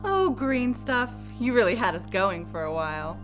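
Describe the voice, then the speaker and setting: A woman's voice: mid-range voice, big pitch swings, moderate pace, music in the background, close to an everyday voice. Radio drama scientist, female actor: dry wit, confident, mid-range voice. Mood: wry.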